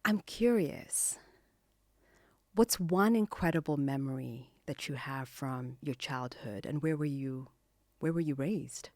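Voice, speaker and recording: A woman with a low-pitched voice hosts a podcast interview. She greets her guest in an upbeat, welcoming way. The sound is close and dry. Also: takes it slowly, a little mellow, no echo. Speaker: podcast host. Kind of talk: casual interview.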